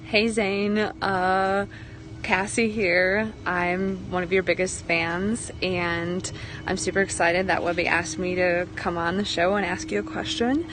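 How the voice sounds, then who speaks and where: A woman's voice: on the low side, fairly even intonation, unhurried, noisy background. A woman sending in a cheerful, teasing recorded question. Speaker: fan and mixed doubles partner.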